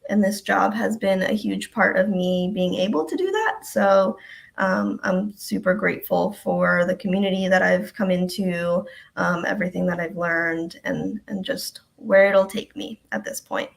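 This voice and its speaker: A woman's voice: mid-range voice, measured pace, gravelly. A young woman from Ecuador, a health department coordinator, chatting casually as a guest on a live stream with many ums.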